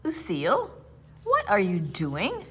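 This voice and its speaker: A woman's voice: mid-range voice, wildly swooping pitch, takes it slowly, husky, full character voice. A woman playing a patient, coaxing mother in a children's storybook. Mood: questioning. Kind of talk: storybook character read aloud.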